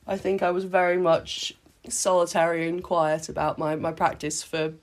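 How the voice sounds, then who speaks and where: A woman in her late thirties from Britain, hoarse with a cold, chatting casually as a podcast co-host and often restarting her sentences. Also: on the low side, flat delivery, moderate pace. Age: late 30s. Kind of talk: casual chat. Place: sitting on the floor in a home play fort.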